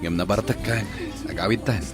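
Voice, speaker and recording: A man's voice: lowish voice, fairly quick, over music, natural voice. A man playing an evasive middle-aged husband and father in an Ilocano radio drama, hesitant and placating.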